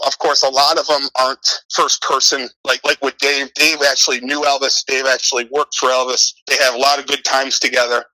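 A man's voice: mid-range voice, bright, forceful tone, dry recording, low-quality recording. A middle-aged American man answering interview questions conversationally, rambling and repeating himself for emphasis. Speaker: interview guest, author and martial arts instructor.

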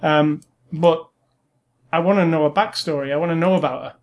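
A man's voice: fairly high pitch, a little fast. A man co-hosting a casual film review chat, giving blunt, informal opinions.

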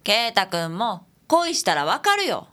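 A woman's voice: mid-range voice, wildly swooping pitch, measured pace, pushed and bright, exaggerated voice. A woman playing a bubbly, inquisitive detective's assistant with a Kansai accent in a radio drama, with a teasing manner. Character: perky, curious female detective's assistant.

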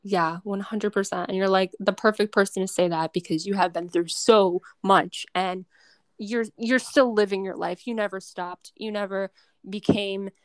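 A woman's voice: mid-range voice, fairly even intonation, moderate pace, dry recording. A young American woman with at most a faint Massachusetts accent, hosting a casual chat in an effusive, encouraging, informal way. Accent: American, only faintly Massachusetts. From United States, Massachusetts. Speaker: podcast host.

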